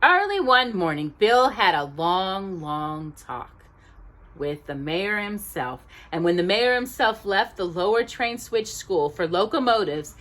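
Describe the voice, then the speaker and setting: A woman narrating a children's storybook expressively, with deliberate, drawn-out emphasis aimed at small children. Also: medium pitch, very animated, a bit bright.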